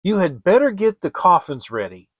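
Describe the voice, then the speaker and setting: A man's voice: high voice, sing-song, moderate pace, no echo, voice pushed up. A man voicing a cold, scornful stepmother in a storybook reading, commanding and emphatic. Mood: scornful, scolding. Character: cold, scornful, bossy stepmother in a fairy tale.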